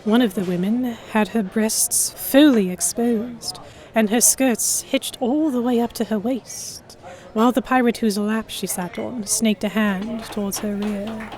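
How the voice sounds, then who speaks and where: A woman's voice: a little high, steady tone, measured pace, darkish tone. A woman reading scripted first-person narration for an audio drama.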